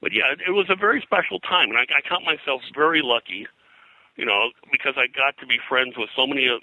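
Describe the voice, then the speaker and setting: Older male interviewee, low-pitched and forceful, digressive raconteur reeling off names and anecdotes. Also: no echo, low-quality recording. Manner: digressive, name-dropping storyteller. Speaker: pop culture historian and guest. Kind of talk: rambling anecdotal interview talk.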